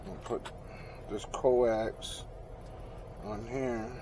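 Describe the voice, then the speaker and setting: Slow-talking man muttering over loud vehicle noise. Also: lowish voice, steady tone. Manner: muttering, sweary, slangy. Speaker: truck driver vlogger. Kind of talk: casual hands-on install vlog, talking to self. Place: inside a truck cab. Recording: noisy truck cab, vehicle noise.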